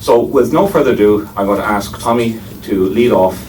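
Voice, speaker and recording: Low-voiced Irish man chairing a panel. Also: normal speed, a little mellow. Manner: wry, digressive, with frequent hesitations. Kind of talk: formal panel introduction. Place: lecture room before an audience. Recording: distant mic, faint noise.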